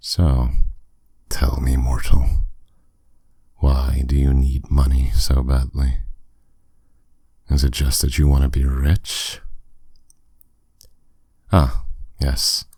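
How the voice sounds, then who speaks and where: A man playing a powerful, courteous demon captor in an ASMR roleplay, speaking with smooth, teasing, condescending authority. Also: rumbling low, monotone, warm tone, no echo, exaggerated voice. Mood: teasing, amused. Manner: smooth, condescending, unhurried, with endearments.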